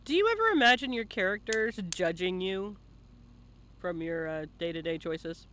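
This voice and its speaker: Very high, bright male interviewer. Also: animated, normal speed, a little hiss or hum. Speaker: interviewer, streamer host. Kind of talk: casual live-stream interview.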